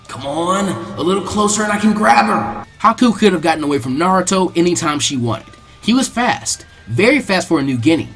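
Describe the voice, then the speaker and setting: A man's voice: high voice, a bit bright. A man giving a scripted, dramatic narration as the reader of an adventure story.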